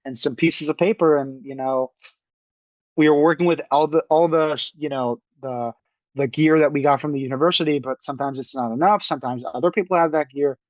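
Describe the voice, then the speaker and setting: A man's voice: on the high side, no echo. A young man talks casually as an interview guest. He starts over often and searches for words between fillers. The sound is dry and a little dull, like a modest lo-fi setup. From New York. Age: young adult. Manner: halting, many restarts and fillers. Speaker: film director guest.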